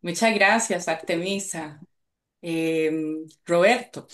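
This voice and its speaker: Slow, courteous woman moderating a call. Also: fairly low pitch, a bit bright. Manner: brief, courteous. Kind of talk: calling on participants. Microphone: video call.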